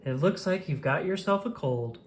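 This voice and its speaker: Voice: mid-range voice, expressive, normal speed, slight background noise, natural voice. A storybook reader voicing a kind, cheerful woman doctor in a friendly, reassuring manner.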